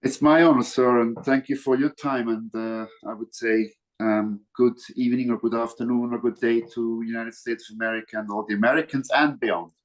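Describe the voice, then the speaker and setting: An older man from Switzerland with a Swiss accent, a guest answering interview questions formally and earnestly on a video call, pausing often with fillers. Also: medium pitch, steady tone, rough recording.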